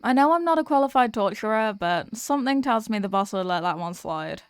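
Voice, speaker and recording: A woman's voice: a little high, lively intonation, a little fast, clean tone, no room sound, clean studio sound, natural voice. A woman playing a sweet, weary demoness girlfriend in an ASMR roleplay, chatting affectionately and intimately to her partner. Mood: exasperated venting. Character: sweet, weary demoness girlfriend venting about office work.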